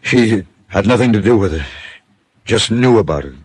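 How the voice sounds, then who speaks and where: An actor playing a weary, wounded, remorseful husband in a 1950s radio drama, husky and halting. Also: male voice, on the low side, animated, no room sound. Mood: pained, resigned. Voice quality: husky, breathy. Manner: halting, broken phrases.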